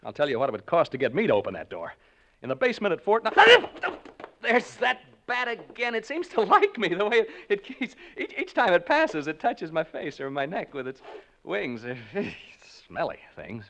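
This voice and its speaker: An actor playing a frightened American broadcaster unraveling in a haunted house, rambling and stammering in 1940s radio suspense style. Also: male voice, on the high side, sing-song, moderate pace, fairly bright tone, slight background noise. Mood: giddy unease. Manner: rambling, stammering, nervous laughter. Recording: dull, lo-fi vintage radio recording.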